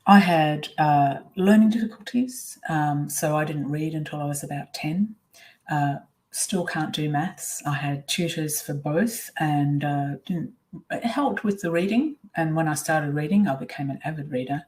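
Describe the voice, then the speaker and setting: A middle-aged woman, whose was Swiss German, telling her own story in a webinar, candid and reflective with many pauses and ums. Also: deep voice, darkish tone. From Australia. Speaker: webinar presenter.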